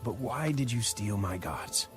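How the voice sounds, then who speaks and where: A man's voice: lowish voice, lively intonation, a little slow, faint music behind. A man playing an aggrieved biblical father-in-law, stern and declamatory, in a dramatized audio Bible. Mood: stern warning.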